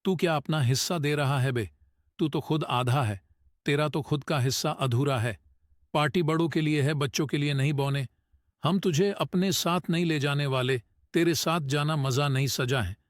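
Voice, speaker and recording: A man as a sneering, high-pitched college bully. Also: moderate pace, dry recording, clean studio sound, close to an everyday voice. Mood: scornful. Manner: taunting, sneering. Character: mocking, threatening college bully, young male. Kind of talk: scripted audio story acting.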